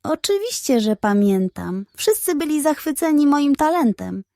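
A woman voicing a naive, proud and excitable hen with a thin squeaky voice in a children's storybook. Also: normal speed, no echo, clean studio sound. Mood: proud, eager.

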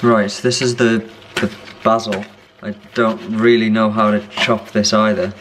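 A man's voice: on the low side, level tone, a little slow. A young man in a home kitchen, chatting casually and with self-mocking bemusement as he fumbles through a recipe. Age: young adult. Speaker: vlogger. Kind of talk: casual cooking vlog. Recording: cooking noise behind.

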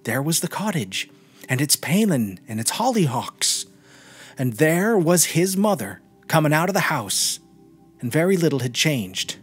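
Man narrating a story, lively and melodic. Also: fairly high pitch, measured pace, husky, soft background music. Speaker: podcast reader. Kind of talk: scripted literary story narration.

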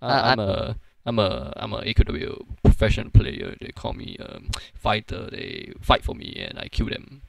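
A person voicing a boastful, evasive stranger who talks haltingly in an improvised voice-changer skit. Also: mid-range voice, very animated, gravelly. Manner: halting, stammering. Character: boastful, evasive low-voiced stranger, self-styled pro fighter.

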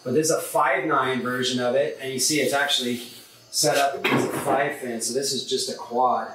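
A man's voice: mid-range voice, fairly even intonation, moderate pace, a bit bright. Man, middle-aged, surfboard shaper, rambling casual talk, far-off camera mic, faint hiss. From San Diego, California. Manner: loose and rambling, many fillers and restarts. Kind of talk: casual board review vlog. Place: surfboard workshop room, indoors. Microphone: camera mic from a few meters away. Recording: echoey room, distant camera mic, faint noise.

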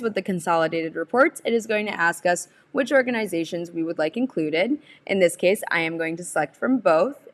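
A young adult woman presenting a software tutorial, explaining each step in an informal, instructional way. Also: fairly low pitch. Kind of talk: instructional screen-share walkthrough.

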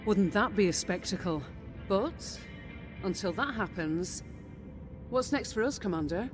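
A woman playing a composed, efficient officer in a sci-fi video game, speaking in a crisp way. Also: mid-range voice, lively intonation, measured pace, close to an everyday voice. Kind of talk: video game cutscene acting. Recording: music under the voice. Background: music.

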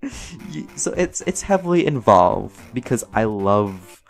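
A man's voice: mid-range voice, sing-song, slow, vocal fry. A man giving a casual, rambling, playful Q&A as a voice actor, chatty and thinking aloud. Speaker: voice actor and audio creator answering fan questions. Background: music under the voice.

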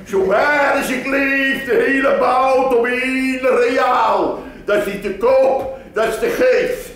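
Guy doing a bragging butcher at his stall, loud and high, echoey. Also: steady tone, takes it slowly, heavily acted. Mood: boastful. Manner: boasting, laughing. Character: boastful old-time meat stall keeper. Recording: roomy distant echo.

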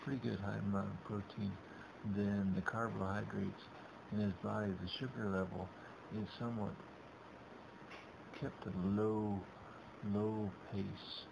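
A middle-aged man narrating his shopping trip in a halting, rambling way, full of restarts, over a webcam. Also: fairly low pitch, little pitch movement, creaky. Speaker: vlogger. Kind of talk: casual narrated shopping vlog. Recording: dull lo-fi webcam audio with background noise.

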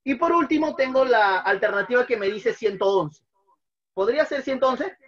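A man's voice: extremely high pitch, expressive, normal speed, a bit bright, highs cut off. A man in his thirties teaching math at a whiteboard in a small room, patiently repeating each step and putting questions to his students. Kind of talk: online class at a whiteboard.

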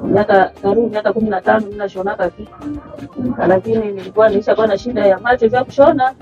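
A woman's voice: mid-range voice, fairly even intonation, very fast. A middle-aged woman tailor and mother of six giving a grateful, earnest testimonial in her workshop. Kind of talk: testimonial in Swahili. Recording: slightly dull lo-fi sound with faint music.

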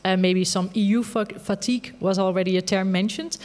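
A woman's voice: medium pitch, fairly even intonation, brisk, a little mellow. A woman leads a stage debate in a theater. She asks friendly questions full of small fillers. Her voice carries a long hall reverb. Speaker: moderator. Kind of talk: panel moderation.

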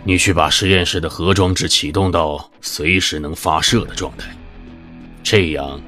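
Brief: deep-voiced, secretive shadow teacher for an audio drama, slow and grave, with music underneath. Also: male voice, steady tone, sharp tone. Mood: commanding. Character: cryptic shadowy male mentor who foresees futures.

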